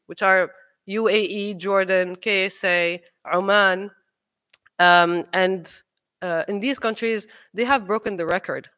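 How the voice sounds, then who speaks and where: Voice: mid-range voice, bright, forceful tone. A young adult from Morocco, giving an earnest, persuasive conference talk from a podium, with frequent ums and uhs. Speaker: conference speaker, engineer. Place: large auditorium stage. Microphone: podium microphone.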